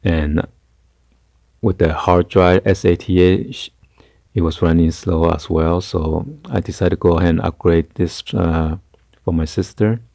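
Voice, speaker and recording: A man giving a casual hands-on tech tutorial in a halting, hesitant way. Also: sits low, fairly even intonation, creaky, warm tone, dry recording, studio quality. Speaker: tutorial host. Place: carpeted room indoors.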